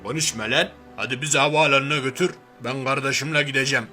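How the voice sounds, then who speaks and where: A man's voice: medium pitch, fast, sharp tone, soft background music. A man voicing a brash, grumbling, slangy macho man turned reluctant superhero in a cartoon parody. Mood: gruff, defiant. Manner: blustering, slangy, threatening then sentimental. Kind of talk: cartoon parody character dub.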